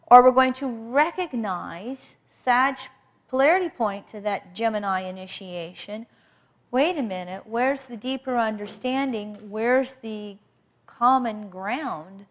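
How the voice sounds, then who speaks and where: Woman astrology teacher, loud and energetic, slightly high voice, lecturing a workshop. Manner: energetic, emphatic, repeats for emphasis.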